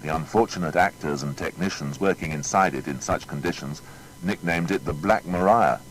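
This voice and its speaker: A man narrating a scripted biography in a formal, measured storytelling manner. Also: deep voice, slight background noise, rough recording.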